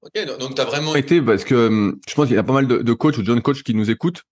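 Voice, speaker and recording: Male podcast host, quick casual questioning, mid pitch. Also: darkish tone, no echo. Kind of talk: casual interview chat.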